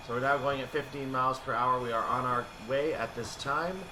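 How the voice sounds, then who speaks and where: A man with a mid-pitched voice narrates a game in a casual, explanatory way. He thinks aloud as he plays. A clear layer of ambient noise and rumble sits behind his voice. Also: steady tone, fairly quick. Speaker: gaming video creator narrating train simulator play. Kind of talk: casual gameplay commentary.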